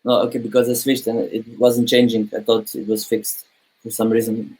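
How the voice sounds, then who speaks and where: A man from Slovenia chatting casually on a video call, a bit halting and thoughtful. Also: lowish voice, monotone, a little mellow, husky, rough recording. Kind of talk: casual chat.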